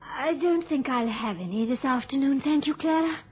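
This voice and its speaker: Actress playing a timid, childlike middle-aged spinster. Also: female voice, sits high, moderate pace, lightly acted. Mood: timid, wistful. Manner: hesitant, gentle, apologetic. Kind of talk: mid-century radio drama acting. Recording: muffled old radio, noisy background.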